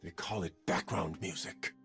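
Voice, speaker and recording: An actor playing an arrogant, theatrical villain artist in a video game, haughty, cold and dramatic. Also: male voice, sits low, normal speed, creaky, a bit bright, soft background music. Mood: cold disdain.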